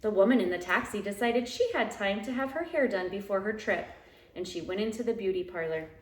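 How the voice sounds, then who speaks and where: A woman reading a children's picture book aloud at home in an expressive, dramatic way, drawing out key phrases. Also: medium pitch, a little fast. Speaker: teacher reading aloud to young pupils. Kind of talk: animated children's storybook read-aloud. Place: living room at home. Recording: roomy, echoey home recording.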